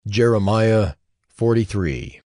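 A man narrating a dramatized audio Bible in a measured, formal storytelling manner. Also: on the low side, lively intonation, dark tone, dry recording, studio quality.

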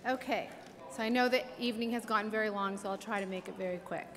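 A woman's voice: medium pitch, quick pace. A woman in her forties who owns a clinic, giving an earnest, grateful acceptance speech at a podium in a large banquet hall. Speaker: award winner, clinic owner. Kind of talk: acceptance speech and red-carpet interview. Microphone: podium microphones. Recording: echoey banquet hall with music.

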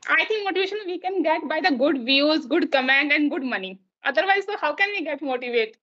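A woman's voice: high-pitched, expressive, a little fast, bright, forceful tone. Indian woman chatting on a dull video call. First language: Hindi. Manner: chatty, frequent restarts, laughs between points. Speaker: guest teacher. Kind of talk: casual video-call conversation. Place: small room indoors.

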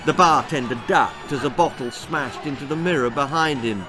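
A man in his sixties reading a children's story dramatically, with an expressive, measured, suspenseful delivery. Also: mid-range voice. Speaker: author reading own story. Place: small book-lined room at home. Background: music.

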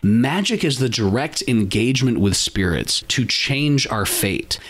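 Man, podcast host, scripted monologue, emphatic rhetorical delivery, average pitch. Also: expressive, unhurried, fairly bright tone.

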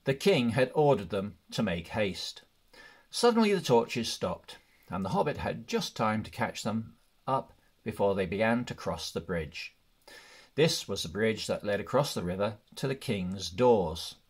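A man narrating a storybook in measured, deliberate phrases. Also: lowish voice, big pitch swings, husky. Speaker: audiobook reader.

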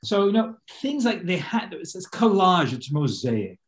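A man in his sixties hosting a scholarly discussion on a video call from his living room, animated and gesturing, often restarting his sentences. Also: high-pitched, measured pace. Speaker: host and lecturer.

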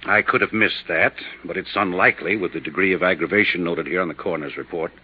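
Casting: guarded male doctor, American, deep voice, measured delivery, for muffled 1950s radio serial. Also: slightly rough. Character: defensive, guarded male small-city doctor. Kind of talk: 1950s radio drama acting. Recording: dull 1950s radio recording.

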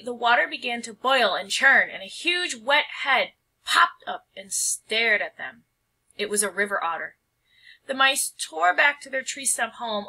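A woman's voice: fairly high pitch, pushed and bright, rough recording. A woman reading a picture book aloud as a storyteller, with dramatic, emphatic phrasing.

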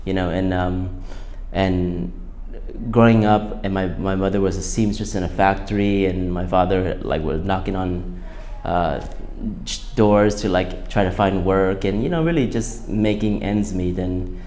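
A man in his thirties speaks in a deep, low voice. He answers questions in a relaxed, reflective manner, often pausing on fillers and restarting his sentences. He is seated at a table in a quiet studio, miked with a lapel mic. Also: a little hiss or hum. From Southern California. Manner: thoughtful, hesitant, many fillers and restarts. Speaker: fashion designer, guest.

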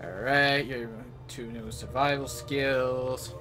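Unhurried man muttering through gameplay. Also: medium pitch, fairly even intonation. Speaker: gaming video host. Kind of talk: casual live gameplay commentary. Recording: game music behind the voice. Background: video game music.

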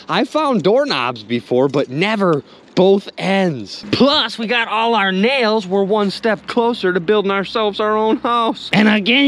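A man narrating his magnet fishing trip outdoors by a river, chatty and excitable, constantly talking to his viewers. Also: sits high. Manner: excitable, chatty, keeps addressing viewers as "you guys". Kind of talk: excited outdoor vlog commentary.

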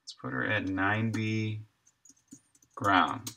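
A man's voice: fairly low pitch, steady tone, slow, vocal fry, pushed and bright. A man in his thirties livestreaming game development, casually thinking aloud in short, muttered thoughts as he works.

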